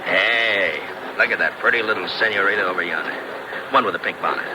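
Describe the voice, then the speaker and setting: A man's voice: on the low side, fairly quick, close to an everyday voice. An actor playing a fat, folksy, garrulous traveling salesman, chuckling and stammering as he rambles in 1950s radio drama style. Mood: sly, jovial. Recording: noisy old 1950s radio recording.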